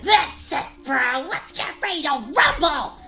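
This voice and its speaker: A woman plays a bossy young sister in a comic radio play, high and swooping in pitch. She is sarcastic and quick to fight, with a short temper and drawn-out, unhurried delivery. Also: lively intonation, bright, forceful tone, soft background music, full character voice. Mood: angry, combative. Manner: sarcastic, combative. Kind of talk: comedic gag-dub radio play acting.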